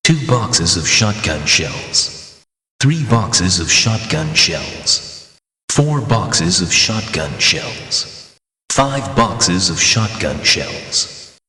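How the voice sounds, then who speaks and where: Deep-voiced man announcing in heavy reverb. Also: sing-song, extremely slow, sharp tone. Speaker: video game item announcer. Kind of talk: scripted item-list narration.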